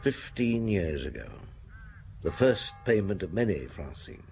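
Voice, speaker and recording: An actor playing a shrewd, unhurried middle-aged male police inspector in a radio drama, questioning in a measured, probing way. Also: low voice, sing-song, vocal fry, dark tone, a little hiss or hum, heavily acted.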